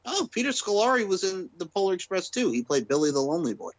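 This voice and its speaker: A man's voice: high voice, big pitch swings, fast, no room sound, lo-fi sound. An American man co-hosting a casual podcast, laid-back and given to swearing, reeling off film trivia. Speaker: podcast co-host.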